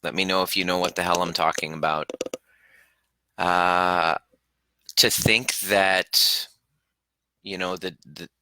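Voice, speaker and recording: Man hosting a live q&a, earnest, lots of restarts, low but bright voice. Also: fairly even intonation, no room sound, low-quality recording. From Iran, raised in Canada. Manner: earnest and halting, with many restarts and fillers. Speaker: host of a live Q&A stream. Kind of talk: casual live-stream talk.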